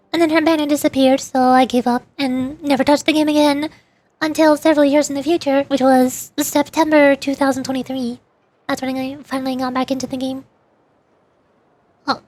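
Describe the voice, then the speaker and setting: A woman's voice: sits high, darkish tone. A woman livestreamer chatting casually over a game in a rambling, playful way. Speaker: livestreamer, VTuber. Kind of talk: casual chat while gaming, answering live chat.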